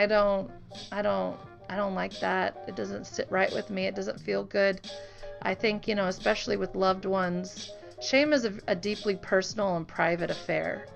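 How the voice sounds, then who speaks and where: A woman's voice: mid-range voice, vocal fry. A young adult woman speaking earnestly and conversationally, sharing informal reflections with her viewers. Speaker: online art channel host; teacher. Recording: voice with music behind. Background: music.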